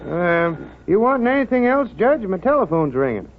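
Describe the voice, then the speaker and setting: Obliging small-town American soda clerk, folksy, high and scratchy-throated, vintage radio play. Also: male voice, sing-song, moderate pace, phone-quality sound, exaggerated voice. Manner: folksy, chatty. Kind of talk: 1930s radio drama acting. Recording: thin 1930s radio recording.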